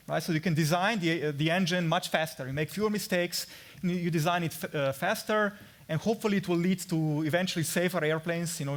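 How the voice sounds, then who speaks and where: A man with a Slovenian accent presenting his research in an explanatory way, as an academic at a conference. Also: a little high. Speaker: assistant professor presenting research.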